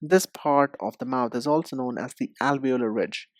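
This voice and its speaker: A man teaching English pronunciation, explaining step by step in a deliberate, didactic way and speaking directly to the learner. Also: mid-range voice, dry recording, clean studio sound. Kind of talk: instructional lesson with demonstrations.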